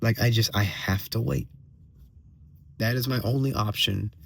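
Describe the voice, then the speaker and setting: A man with a slightly hoarse voice giving a casual personal update as a vlogger, venting in a halting way, repeating phrases and checking in with tag questions. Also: fairly low pitch, steady tone, a bit bright. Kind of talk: casual solo monologue, venting.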